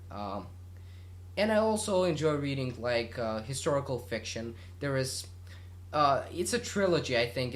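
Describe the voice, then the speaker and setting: A man from Bulgaria, a native Bulgarian speaker, hosting his own gaming channel and chatting loosely through viewer questions with lots of fillers and restarts. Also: medium pitch. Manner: rambling, many fillers and restarts. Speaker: YouTube gaming channel host. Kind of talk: casual Q&A monologue.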